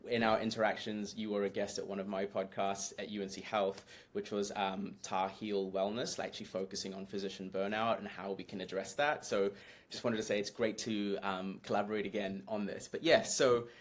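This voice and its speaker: A man's voice: on the low side, steady tone, brisk. Chatty man with a Kiwi-British accent. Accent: mixed New Zealand and British. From New Zealand. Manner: friendly, chatty storyteller with many restarts. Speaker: guest physician. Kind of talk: casual podcast conversation.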